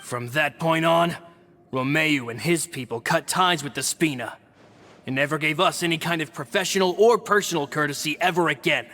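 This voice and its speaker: A bright-voiced dutiful guild man. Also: a little high. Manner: measured, formal. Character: guild man leading his own men, dutiful.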